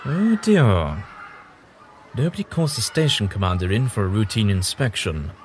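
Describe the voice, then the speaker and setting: An actor playing a whimsical alien traveller who muses aloud to himself in a sci-fi audio drama. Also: male voice, on the low side, big pitch swings, moderate pace, slight background noise. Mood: amused, musing. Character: whimsical, musing alien traveller, amused by humans.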